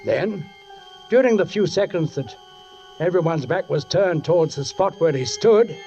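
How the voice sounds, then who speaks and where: High-pitched brooding police sergeant, old radio. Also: male voice, animated, measured pace, vocal fry, dark tone. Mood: brooding, suspenseful. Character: London police sergeant, brooding, watchful narrator. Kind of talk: 1940s radio acting, first-person narration. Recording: dull 1940s radio recording, music behind.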